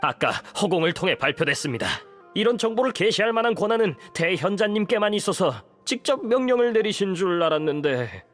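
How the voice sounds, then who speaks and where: Nervous, high-voiced timid underling. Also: male voice, sing-song, fairly quick, fairly bright tone, soft background music. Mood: hesitant, puzzled. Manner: deferential, nervous, hesitant. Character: timid, apologetic male underling scholar. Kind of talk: dramatic Korean game voice acting.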